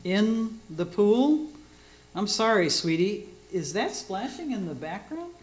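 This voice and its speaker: An exasperated female co-host, acted by a woman in a radio comedy. Her mid-pitched voice moves up and down with lively swings. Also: takes it slowly, poor audio. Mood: exasperated disbelief. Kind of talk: comic radio play acting.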